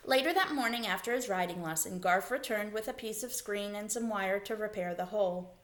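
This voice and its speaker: A woman reading a children's story aloud as a teacher, in expressive narration. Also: medium pitch, a little fast.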